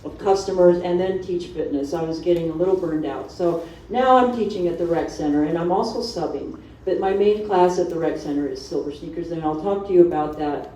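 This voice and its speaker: A middle-aged woman from Honduras giving an informal, animated personal talk to an audience as a fitness instructor. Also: on the low side, brisk, hardly any pauses. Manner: animated, conversational storytelling with acted-out asides. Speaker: fitness instructor on a seminar panel. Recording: distant mic in echoey room, dull sound.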